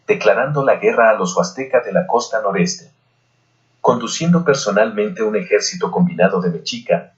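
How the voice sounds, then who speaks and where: A man reading a historical novel aloud as scripted audiobook narration, in a dull, lo-fi recording. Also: lowish voice. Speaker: audiobook reader.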